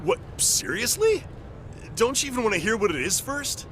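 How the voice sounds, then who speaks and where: A man's voice: extremely high pitch, wildly swooping pitch, moderate pace, noisy background, full character voice. An actor playing a cocky, wheedling American soldier in a game, slangy and cajoling as he talks people round. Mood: affronted, pleading. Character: cocky, wheedling male soldier pitching shady tips. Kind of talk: game cutscene acting. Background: faint music.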